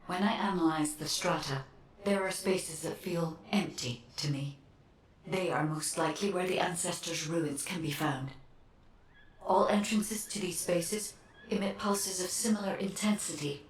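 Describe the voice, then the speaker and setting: An actress voicing a calm, analytical otherworldly guide in a video game, speaking formally and precisely through an echoing, distant effect. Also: female voice, fairly low pitch, a little slow, slight background noise. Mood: serene, informative. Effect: echoing, distant voice filter. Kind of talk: scripted video game dialogue.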